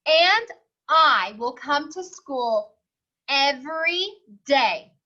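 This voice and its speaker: A woman's voice: sits high, lively intonation, extremely slow, sharp tone, lo-fi sound, exaggerated voice. A woman voicing an eager, earnest young pig schoolboy in a children's storybook, pleading in an emphatic singsong. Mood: earnest promising. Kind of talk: children's storybook read-aloud.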